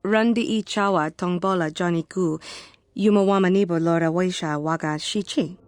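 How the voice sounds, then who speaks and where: A woman's voice: fairly low pitch, fairly even intonation, moderate pace, no echo, studio quality, lightly acted. A woman voicing a defiant female alien warrior in a video game, speaking clipped syllables of an invented tongue. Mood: guarded.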